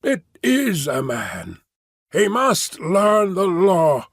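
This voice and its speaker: A man voicing a hunched male beast-man with a thick voice and a whistling overtone, intoning slowly in a sing-song. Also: high-pitched, huge pitch swings, creaky, breathy edge, no echo, clean studio sound, pitched above the performer's own voice, heavily acted. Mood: solemn.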